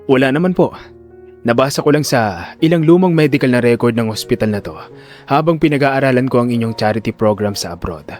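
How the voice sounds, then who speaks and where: A man playing a cold, calculating neurosurgeon in an audio drama, speaking with controlled, deliberate politeness. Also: mid-range voice, animated, a little mellow, soft background music, natural voice. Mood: probing, controlled. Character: cold, calculating male neurosurgeon secretly bent on revenge.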